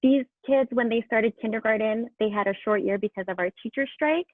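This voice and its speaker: High-voiced woman teacher on a tinny call. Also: a little fast, no echo. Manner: encouraging, addressing young children. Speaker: elementary school teacher moderating questions. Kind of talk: casual classroom moderation on a video call. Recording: tinny, phone-like video call audio.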